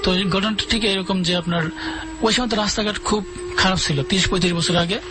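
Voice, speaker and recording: A man's voice: sits high, steady tone, fast talker, heavy background noise. A man calling in to a radio show, telling ghost stories in a casual, hesitant way with many fillers and restarts. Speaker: caller sharing ghost stories. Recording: radio broadcast with music underneath.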